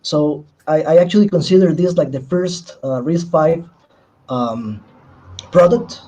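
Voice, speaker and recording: Halting man, mellow, dull noisy call. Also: on the high side. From Costa Rica. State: slightly nervous. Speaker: guest showing electronics projects. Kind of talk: casual show-and-tell presentation.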